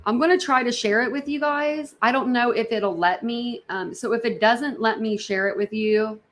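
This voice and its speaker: A woman's voice: fairly high pitch, moderate pace, slight background noise. A woman hosting a casual livestream, reacting to videos in a rambling way, repeating herself and talking straight to her viewers. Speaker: livestream host.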